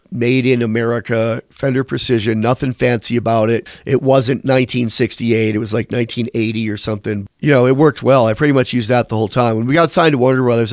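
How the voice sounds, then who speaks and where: A man's voice: medium pitch, no room sound, clean studio sound. A man being interviewed as a musician, telling candid, rambling stories and swearing casually. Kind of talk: candid conversational storytelling.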